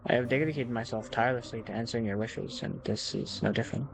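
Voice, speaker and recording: A man's voice: mid-range voice, fast, creaky, lightly acted, low-quality recording. A man voicing a formal, devoted, possessive visual novel narrator, speaking straight to the player in a courtly way. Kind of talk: reading game text aloud.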